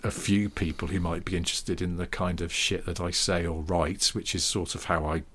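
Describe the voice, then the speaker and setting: Deep-voiced man in his forties. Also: fairly even intonation, moderate pace, fairly bright tone, husky. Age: 40s. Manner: rambling storyteller, frequent ums and restarts. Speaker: podcast co-host. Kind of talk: casual chat.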